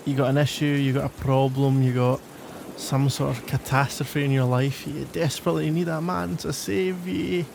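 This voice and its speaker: Male actor as young Glaswegian tsundere: exasperated, teasing, secretly caring, mid-pitched with creaky edge, for ASMR roleplay. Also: steady tone, normal speed, background noise, lightly acted. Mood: irritated. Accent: Glaswegian Scottish. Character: tsundere young Glaswegian man, gruff, sarcastic, grudgingly caring. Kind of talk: scripted ASMR roleplay monologue.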